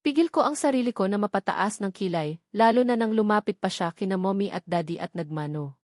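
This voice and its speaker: Filipina narrator, close dry sound. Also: female voice, mid-range voice, brisk, darkish tone, dry recording. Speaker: audiobook narrator. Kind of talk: scripted first-person romance novel narration.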